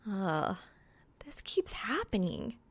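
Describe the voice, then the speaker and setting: A woman's voice: mid-range voice, very animated, takes it slowly, slightly rough, full character voice. A woman voicing a young, studious gamer woman in an audiobook, casual and teasing with a dry manner. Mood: whiny. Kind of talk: acted audiobook dialogue.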